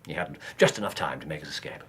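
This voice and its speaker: An actor playing a brilliant, commanding Victorian detective in a radio drama, with an English accent, a husky voice and precise, theatrical emphasis. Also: male voice, a bass voice, quick pace, a bit bright, full character voice. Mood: brisk, explanatory.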